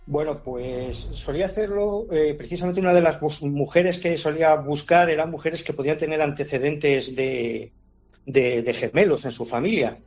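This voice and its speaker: A man's voice: on the high side, normal speed, darkish tone, dull audio. A man answering as an expert guest, talking casually with frequent fillers and restarts. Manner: hesitant, many fillers and restarts. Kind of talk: conversational explanation.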